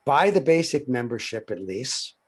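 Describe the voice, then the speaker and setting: An older man leading a workshop, answering questions on a video call in a measured, explanatory way with soft chuckles. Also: medium pitch, big pitch swings. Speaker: workshop presenter and psychotherapy trainer.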